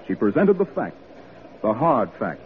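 A man's voice: on the low side, very animated, unhurried, a little hiss or hum. A man narrating a 1940s radio drama in a grave, oratorical manner. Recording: tinny 1940s radio recording, music behind.

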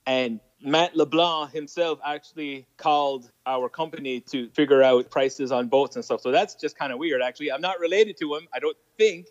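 A man's voice: on the high side, moderate pace, few pauses, dry recording, dull audio. A man from Nova Scotia with an Acadian French accent, French his first language, chatting as an interview guest and laughing at his own jokes. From Nova Scotia, Canada. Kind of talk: casual storytelling interview.

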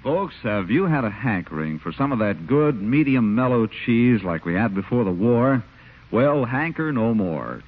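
Old time radio announcer guy, folksy pitch, super lively, tinny sound w music under. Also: breathy edge. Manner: folksy, polished salesman. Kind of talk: 1940s radio announcing and sponsor ads.

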